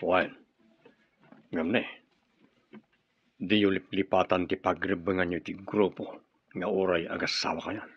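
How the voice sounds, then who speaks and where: A man playing an authoritative rebel group leader, measured and commanding, in an Ilocano radio drama. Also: sits low, flat delivery, husky, full character voice. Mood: commanding, approving. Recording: dull, roomy recording with faint music.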